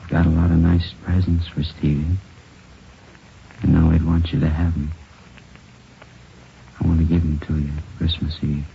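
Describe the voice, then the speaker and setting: An actor playing a grieving, quietly determined father in a 1950s radio drama, halting and plaintive in his delivery. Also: very deep voice, level tone, gravelly, a little hiss or hum, full character voice. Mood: subdued, solemn. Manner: halting, plaintive, speaking simply to a child. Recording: muffled old radio recording, faint music.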